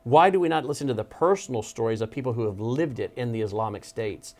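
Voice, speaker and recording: A man's voice: mid-range voice, fast, a little mellow. A man hosting a studio interview show, speaking emphatically and with mounting urgency. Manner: emphatic, urgent, building intensity. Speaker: talk show host. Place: studio desk.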